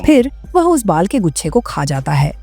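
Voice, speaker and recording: A woman's voice: lowish voice, sing-song, moderate pace, mellow, slightly rough, faint music behind. A woman narrating a horror story from a script.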